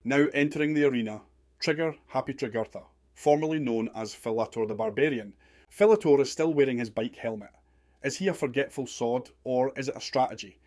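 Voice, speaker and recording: A man's voice: mid-range voice, brisk, darkish tone, no echo, studio quality, lightly acted. A man playing a sardonic, slangy wrestling commentator in a scripted radio drama. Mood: wry. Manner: wry, blunt, slangy.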